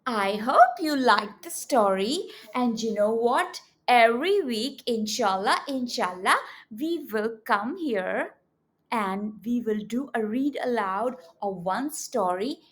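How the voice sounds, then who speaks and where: Female kids' educator for read-alouds; high voice, unhurried delivery, teacherly, engages little listeners with questions. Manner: animated, teacherly, often prompting children with questions. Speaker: children's educator and storyteller.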